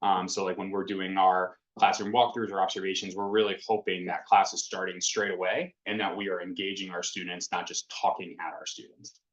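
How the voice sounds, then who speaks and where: A man's voice: low voice, level tone, fairly quick, a bit bright. A man running a school town hall as principal, answering questions in an earnest, reassuring way with many fillers. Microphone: video call. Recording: dull lo-fi video call audio.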